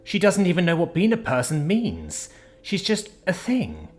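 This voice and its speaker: A brash young woman who mocks and lectures her friend in a raised, bouncy voice, as a man reads her lines. She talks fast and blunt and never softens her point. Faint music sits behind her. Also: high-pitched, huge pitch swings, pitched above the performer's own voice. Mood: teasing. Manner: chatty, mocking, blunt.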